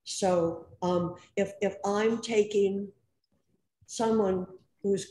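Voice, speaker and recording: A woman on a senior services panel over a video call, conversational and quick to jump in. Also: mid-range voice, steady tone, dark tone. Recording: echoey room, faint background noise.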